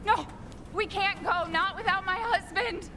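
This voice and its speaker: A distraught young mother in a game cutscene. Her voice is very high and sharp, and she speaks slowly with emotional, defiant insistence. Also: slight background noise, full character voice. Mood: frantic, defiant. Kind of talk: dramatic video game cutscene acting.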